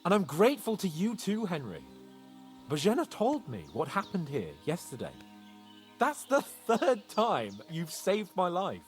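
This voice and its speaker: A man's voice: high voice, wildly swooping pitch, unhurried, soft background music, exaggerated voice. An actor playing a merry, boastful young nobleman in a video game cutscene, speaking in a flowery, grandiose way. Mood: grateful. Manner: jovial, grandiose, flowery speech.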